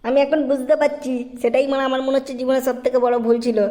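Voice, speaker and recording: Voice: high-pitched, little pitch movement, fast talker, darkish tone, natural voice. An actor voicing a crude, whining young slacker in a comic cartoon, always complaining and blaming his friend. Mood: aggrieved.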